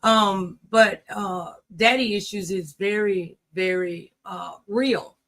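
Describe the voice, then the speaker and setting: A woman's voice: medium pitch, steady tone, slow, a bit bright. Lady host, middle aged, just talking casually on her show. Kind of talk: casual conversational hosting.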